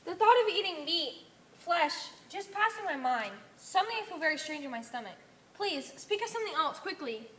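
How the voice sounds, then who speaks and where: A woman's voice: very high-pitched, animated, moderate pace, fairly bright tone, a little hiss or hum. A woman on stage playing a naive, obedient cult follower who speaks in formal, puzzled phrases. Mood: puzzled, earnest. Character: naive, obedient member of a blue cult people, easily confused. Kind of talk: stage play acting. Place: theatre stage. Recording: echoey stage hall recording.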